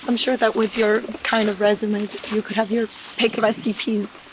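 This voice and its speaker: A woman's voice: mid-range voice, little pitch movement, moderate pace, close to an everyday voice. A woman playing a curious, friendly field operative, chatting casually in a found-footage horror drama. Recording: noisy camcorder-style found footage.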